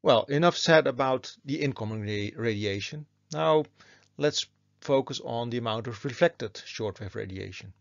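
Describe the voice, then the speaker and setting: A man's voice: medium pitch, normal speed, vocal fry, dry recording, highs cut off. A man giving a methodical, step-by-step explanatory lecture as a university teacher over slides.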